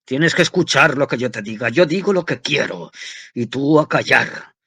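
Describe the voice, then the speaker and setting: A man reading aloud and voicing a tyrannical older father who rants and shouts at his family. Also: mid-range voice, animated, moderate pace, gravelly, slightly rough, no echo, exaggerated voice. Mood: furious. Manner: ranting, shouting.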